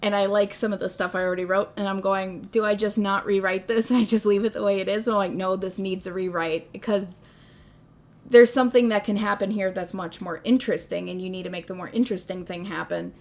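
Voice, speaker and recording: A woman's voice: mid-range voice, steady tone, fairly quick. A young woman with a Midwestern accent, chatting casually on a livestream, rambling and self-deprecating, laughing often. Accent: Midwestern American. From American Midwest. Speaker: streamer.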